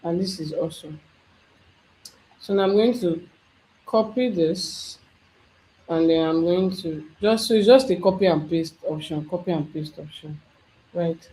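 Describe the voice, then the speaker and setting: An instructor talking through a software tutorial step by step, thinking aloud as they work. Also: mid-range voice, unhurried, long pauses, slight background noise, poor audio. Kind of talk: screen-share software tutorial.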